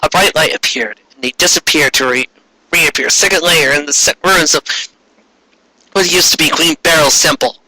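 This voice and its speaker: A narrator giving a dramatic comic reading of amateur fiction, halting and stumbling over words. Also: medium pitch, sharp tone, husky, dry recording, poor audio. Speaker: fan fiction reader.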